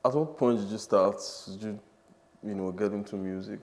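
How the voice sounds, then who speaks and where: A man's voice: fairly low pitch, moderate pace, a little mellow. A man hosting an interview show, chatting in an upbeat way, often repeating words and restarting his questions. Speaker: podcast host. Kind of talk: casual interview chat.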